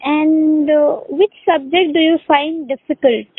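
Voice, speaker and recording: A woman's voice: sits high, fairly even intonation, measured pace, dry recording. A woman with an Indian accent, a native Urdu speaker, chatting casually as an English conversation tutor. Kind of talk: casual one-to-one lesson chat. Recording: dry, narrow phone-line sound.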